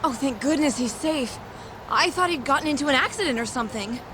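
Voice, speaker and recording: A woman's voice: high-pitched, expressive, fairly quick, noisy background. A woman playing a sweet, devoted, worrying young woman in a video game dub. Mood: relieved, sweet.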